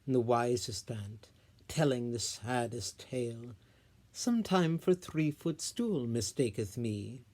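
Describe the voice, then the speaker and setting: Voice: medium pitch, expressive, slow, mellow. A solo reader voicing a merry, boastful male sprite in theatrical Shakespearean verse. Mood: gleeful boasting. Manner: theatrical, storytelling delivery.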